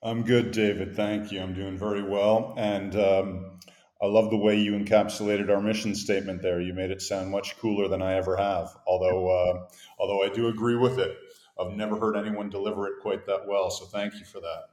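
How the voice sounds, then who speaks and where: A middle-aged man with a Canadian accent, a company founder telling his career story in measured, articulate sentences. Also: fairly low pitch, level tone, darkish tone. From grew up in Montreal, born in Boston. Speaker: guest, company founder and CEO. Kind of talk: interview conversation. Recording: echoey room.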